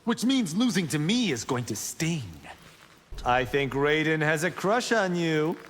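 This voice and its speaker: An actor playing a boastful, brash young warrior monk in video game banter. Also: male voice, on the high side, lively intonation, measured pace, fairly bright tone. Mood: cocky. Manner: proud, brash, self-assured. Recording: long hall reverb, clear background noise.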